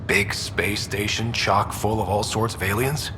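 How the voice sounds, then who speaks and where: A man's voice: lowish voice, fairly even intonation, measured pace, natural voice. A man playing a wry, wistful young soldier in a sci-fi video game, speaking in a reflective, conversational way. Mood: nostalgic. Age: young adult. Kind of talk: naturalistic video game dialogue acting. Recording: reverberant room, loud ambient hum behind.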